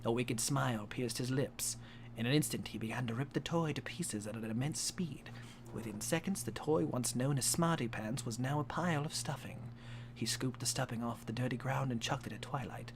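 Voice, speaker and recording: A man's voice: medium pitch, moderate pace, vocal fry, background noise. Young man hamming up a story reading. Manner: exaggerated, swearing, laughing asides. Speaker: host reading a fan story aloud. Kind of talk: comic dramatic reading with asides. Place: home streaming setup.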